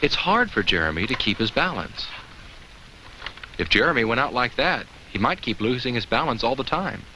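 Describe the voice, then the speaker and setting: A man's voice: mid-range voice, sing-song, moderate pace. A man voicing a cheerful, kindly talking pumpkin who gives children simple safety advice in a 1980s educational film, asking them questions. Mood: gently cautioning. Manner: simple, instructive, addresses children with questions and chuckles. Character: talking jack-o'-lantern, cheerful, kindly, safety-minded narrator. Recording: faintly noisy old educational film soundtrack.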